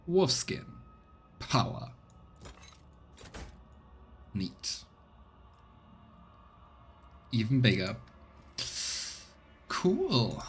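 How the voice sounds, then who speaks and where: A man's voice: medium pitch, slightly rough. A man streaming a video game, commenting casually and reading the game's narration aloud. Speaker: let's play streamer. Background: game music.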